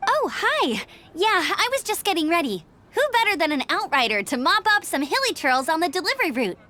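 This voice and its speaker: Game scout: eager, self-assured youngster, extremely high-pitched, lively intonation. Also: female voice, brisk, heavily acted. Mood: upbeat, confident. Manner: breezy, confident. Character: perky, confident young female scout, eager to help. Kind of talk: anime-style video game voice acting.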